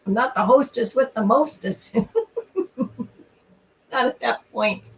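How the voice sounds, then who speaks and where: A middle-aged woman hosting a church storytime, talking informally and reading aloud in an expressive, conversational way straight to her listeners, recorded slightly away from the mic. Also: a little high, slow, recorded from a distance, a little hiss or hum, low-quality recording.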